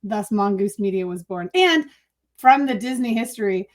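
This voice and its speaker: A woman's voice: mid-range voice, animated, moderate pace. A woman in her thirties chatting casually as an interview guest over a video call. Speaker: interview guest, marketing agency owner. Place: room at home, on a video call.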